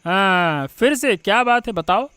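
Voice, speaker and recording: A man's voice: high voice, lively intonation, unhurried, bright, forceful tone, clear, resonant tone, no room sound, full character voice. A man voicing a harsh, dismissive village father in a cartoon story, blunt and scolding. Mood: dismissive, irritated. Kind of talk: Hindi cartoon story voice acting.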